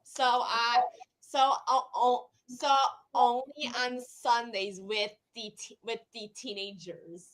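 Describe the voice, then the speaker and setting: A woman chatting casually in a halting way, often repeating her words and phrases. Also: on the high side, fairly even intonation, low-quality recording. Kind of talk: casual chat.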